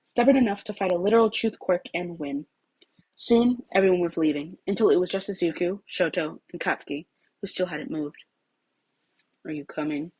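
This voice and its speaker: Female story reader, lower register, husky tone, expressive narration. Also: a little fast, poor audio. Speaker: fan fiction reader.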